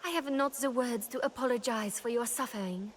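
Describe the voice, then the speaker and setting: A woman's voice: on the high side, fairly quick, a little hiss or hum, natural voice. A woman playing a devoted, earnest lady's maid in a fantasy game, speaking in a formal, archaic way. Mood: worried pleading.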